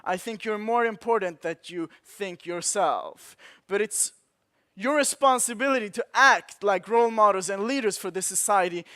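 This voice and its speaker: A man's voice: sits high, moderate pace, pushed and bright. A man in his twenties with a Swedish accent, giving an impassioned motivational keynote at a conference with rhetorical repetition. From Sweden. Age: 20s. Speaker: conference speaker. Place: conference hall.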